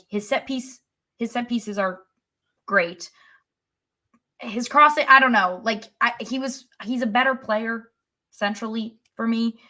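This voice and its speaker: A woman's voice: medium pitch, pushed and bright. A woman with a mid-range voice discusses sport as a podcast co-host. She speaks informally and somewhat tentatively, working out her opinion as she goes.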